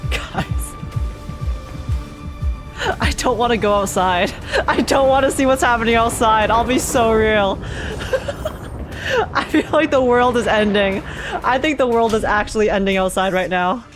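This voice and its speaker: A woman's voice: a little high, unhurried, pauses often, music in the background. A young woman streamer reacting live to a game, dramatic and slangy, often repeating herself.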